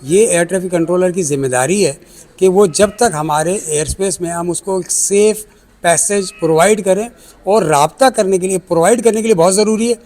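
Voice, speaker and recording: Pakistani guy, pretty high voice, expert explaining stuff step by step w/ english tech words thrown in. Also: moderate pace, a little mellow. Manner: explains step by step, mixing in English technical terms. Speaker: air traffic control chief, aviation expert. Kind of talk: explanatory interview answers. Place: air traffic control centre.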